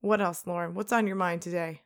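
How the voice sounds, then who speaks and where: A woman's voice: fairly even intonation, fairly quick. A young woman co-hosting a podcast, chatting casually and animatedly and laughing often. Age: young adult. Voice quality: gravelly low voice. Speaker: podcast co-host. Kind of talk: casual chat.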